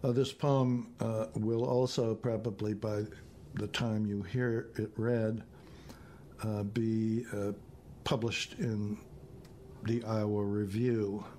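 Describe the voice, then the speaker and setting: A man in his seventies with an American accent, reading his own poetry and prose in a deliberate, measured way. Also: fairly low pitch, flat delivery, mellow, slight background noise. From northeastern United States. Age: 70s. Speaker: poet reading own work.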